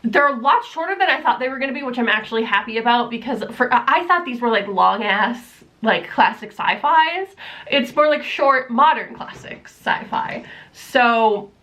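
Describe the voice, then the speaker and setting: Young woman, slightly high voice, stuffy nasal tone, rambling casual vlog delivery, home room on a camera mic. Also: animated, moderate pace, fairly bright tone. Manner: rambling, many fillers, self-deprecating. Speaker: book vlogger. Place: room at home, not yet set up for filming. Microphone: camera mic, camera propped on books.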